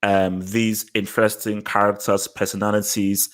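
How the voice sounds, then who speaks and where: Male podcaster, Nigerian accent, moderately low voice, conversational discussion style with emphatic repetition. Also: level tone, normal speed. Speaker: podcast host. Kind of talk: casual discussion podcast chat.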